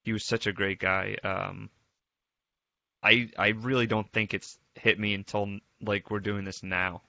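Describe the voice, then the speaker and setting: A man reminiscing on a casual group call, thoughtful and halting, with informal phrasing. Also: fairly low pitch, level tone, vocal fry, bright, forceful tone, no room sound. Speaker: participant in a memorial group call. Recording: dull, dry lo-fi call audio.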